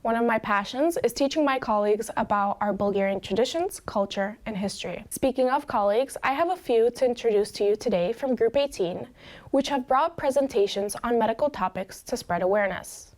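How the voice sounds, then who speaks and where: A woman, reading a scripted introduction of fellow students in a clear, measured way. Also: fairly high pitch. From Chicago, USA. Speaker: student presenter.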